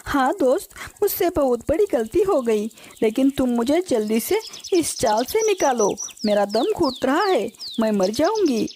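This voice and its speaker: Woman voicing a high, mellow little cartoon sparrow. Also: sing-song, unhurried, hardly any pauses, slight background noise. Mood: pleading. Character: trusting little female sparrow, friendly but determined. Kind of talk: children's cartoon story acting.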